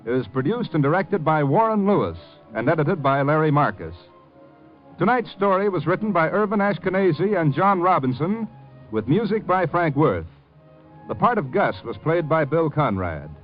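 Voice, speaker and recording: A man's voice: a little high, big pitch swings, moderate pace. A man working as a 1950s radio announcer, reading scripted credits. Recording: muffled old 1950 radio broadcast with music.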